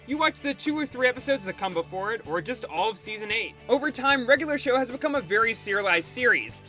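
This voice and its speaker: A man's voice: sits high, sharp tone. A man reading a scripted review as host, with music behind him. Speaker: host reviewing cartoon episodes. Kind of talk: scripted narration.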